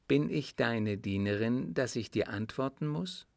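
A man's voice: medium pitch, animated, unhurried, creaky, no room sound. A man voicing a proud young woman, a sheik's daughter in an audiobook, cool, haughty and imperious. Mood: proud, indignant. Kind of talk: audiobook character dialogue.

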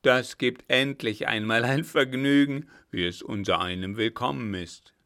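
A man voicing a lustful male vagabond who pushes forward, loud and eager. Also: mid-range voice, measured pace.

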